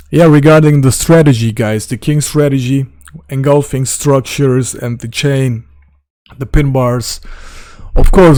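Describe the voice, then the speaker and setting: A man with a non-native English accent, from a German or Turkish speaking background, talks viewers through a trading chart in a loose, blunt way. His voice is mellow and low in tone, and it sits in a dry, close room with little echo. He fills his talk with "um" and "guys" as he points at the screen. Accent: non-native English, simple phrasing. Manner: informal, blunt, talks straight to viewers with frequent "guys" and fillers. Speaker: crypto trading educator. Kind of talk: casual screen-share chart lesson.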